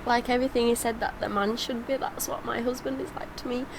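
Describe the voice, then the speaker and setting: A woman's voice: on the high side, steady tone, fast talker, background noise. A woman talking casually and personally as a reaction vlogger, heartfelt and rambling, emotional. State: emotional, tearful.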